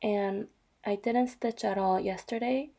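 Soft-voiced woman, slightly hoarse, with gravel. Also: mid-range voice, steady tone, unhurried. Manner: soft, chatty, with fillers and small apologies. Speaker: vlogger. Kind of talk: casual show-and-tell narration.

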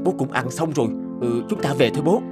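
An actor playing an impatient, proud adult son in an animated story, with an emphatic, scolding manner. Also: male voice, mid-range voice, quick pace, loud music behind the voice, lightly acted. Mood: brisk. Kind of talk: animated moral story dubbing.

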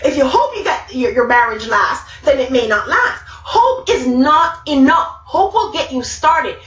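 A woman's voice: high voice, expressive, measured pace, fairly bright tone. Emphatic Jamaican woman preaching over a webcam. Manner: emphatic, repeats key words, gestures a lot. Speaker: speaker. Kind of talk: motivational Bible talk to a live group. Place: small room indoors. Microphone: webcam at arm's length. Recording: roomy, distant, dull webcam sound.

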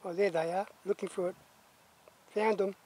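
A man's voice: high-pitched, steady tone, normal speed, dark tone, rough recording. A man giving hesitant, casual commentary as an amateur videographer, recorded outdoors on a camera microphone. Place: outdoors on a rural roadside. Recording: outdoor camera mic, faint roadside noise.

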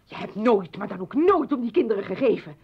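A bitter anguished mom in an old radio drama, husky, swings up and down, muffled recording. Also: female voice, mid-range voice, sing-song, fairly quick. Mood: accusing. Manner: bitter, pleading, breaking into whispers. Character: anguished, accusing mother confronting her former husband. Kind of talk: Dutch radio play acting.

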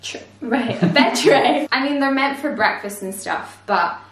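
A woman's voice: on the high side, animated, a little slow, a bit bright, reverberant. A woman in her twenties chatting in a bubbly, giggly way as she shows off her shopping at home. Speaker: vlogger. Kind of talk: casual haul chat. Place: living room at home.

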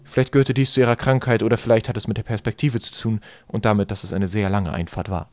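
A man's voice: lowish voice, steady tone, fast, a little mellow, no echo, studio quality. A man narrating a storybook as a scripted audiobook reading. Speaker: audiobook reader.

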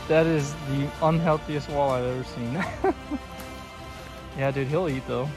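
A man's voice: a little high, wildly swooping pitch, slow pace, long pauses, music in the background. A man out on a frozen lake, cheering and laughing as his friend lands a fish, heard from a distance on the camera. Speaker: fishing buddy. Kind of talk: excited banter while fishing. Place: outdoors on a frozen reservoir.

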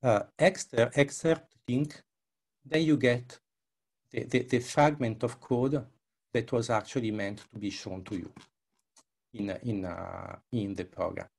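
An older man who is a non-native English speaker, giving a live demo presentation in a gravelly, husky voice, often repeating words. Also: mid-range voice, fairly even intonation, a little slow, darkish tone. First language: not English. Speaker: researcher presenting his work. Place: indoors, on a video call. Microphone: headset.

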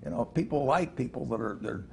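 Older man, gravelly voice, halting, restarts, chuckles, lapel mic, faint room hum. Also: mid-range voice, animated. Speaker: guest. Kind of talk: casual interview talk. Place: studio set like a living room.

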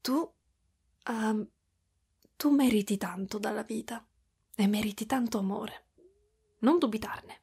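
Woman playing a motherly spider-woman, ASMR roleplay, slightly high pitch, husky breathiness, soft, cooing, clingy, affectionate. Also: unhurried, pauses often, heavily acted. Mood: doting, excited. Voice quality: soft, breathy with whispery stretches. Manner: cooing, affectionate, giggly, pet names.

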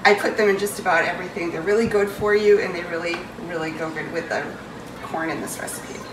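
A woman's voice: lowish voice, steady tone, fast, noisy background. A woman in her forties with a New England accent, chatting casually and joking about herself as she walks through a chili recipe in her home kitchen. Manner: chatty and self-mocking, talks while stirring and pouring. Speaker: home cook hosting a cooking video. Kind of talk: casual cooking demonstration. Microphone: far camera on a tripod. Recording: roomy kitchen audio from a distant camera. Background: food sizzling in a pan.

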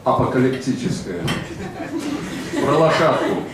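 A middle-aged poet reciting a poem in a measured, declamatory way into a handheld mic on a small club stage. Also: male voice, mid-range voice, lively intonation. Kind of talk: poetry reading. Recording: echoey live club with faint noise.

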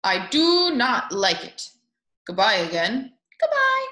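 A woman's voice: a little high, wildly swooping pitch, slow pace, bright, forceful tone, roomy sound, pitched below the performer's own voice, exaggerated voice. A woman voicing polite, chatty picture-book dogs trading exaggerated sing-song greetings. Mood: polite, blunt.

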